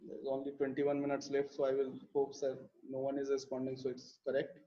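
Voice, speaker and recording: A man's voice: mid-range voice, monotone, brisk, warm tone. A man teaching a live programming class, explaining step by step with frequent fillers and repeated words. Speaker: programming instructor. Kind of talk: live online coding lesson. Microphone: computer mic in a live class. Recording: dull lo-fi live class audio.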